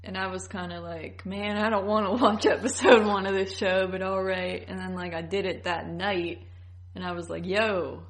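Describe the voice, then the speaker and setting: A woman's voice: medium pitch, little pitch movement, moderate pace. A woman co-hosting a podcast in casual chat, talking informally with slang and tentative phrasing. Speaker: podcast co-host.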